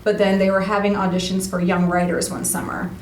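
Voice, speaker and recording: Woman, medium pitch, conversational storyteller, chatty interview guest. Roomy sound with faint noise. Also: a little fast. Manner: chatty, animated retelling with quoted dialogue. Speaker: interview guest, radio play cast member. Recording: roomy, distant mic, faint background noise.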